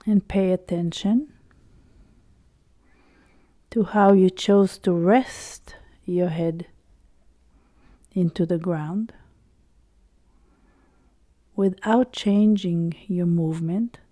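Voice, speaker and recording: Woman doing a guided body scan, really slow and soothing, super dark mellow tone, lots of pauses. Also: on the low side, no room sound. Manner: soothing, unhurried, with long pauses between short phrases. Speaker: meditation guide.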